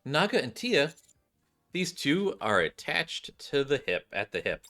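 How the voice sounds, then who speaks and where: A man's voice: a little high, very animated, measured pace, fairly bright tone, faint music behind. A man, a gaming streamer, reading a video game's story aloud. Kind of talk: reading game dialogue and narration aloud.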